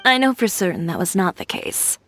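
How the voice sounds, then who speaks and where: A woman's voice: fairly low pitch, big pitch swings, fairly quick, fairly bright tone. A woman voicing a poised, proud female tailor with a cool, dry, self-assured manner, in a game cutscene. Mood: sincere. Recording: game cutscene with faint music.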